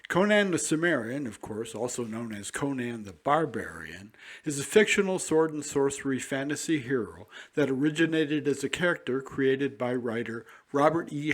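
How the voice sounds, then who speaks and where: Male radio presenter, mid-pitched. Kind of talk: scripted announcement and introduction.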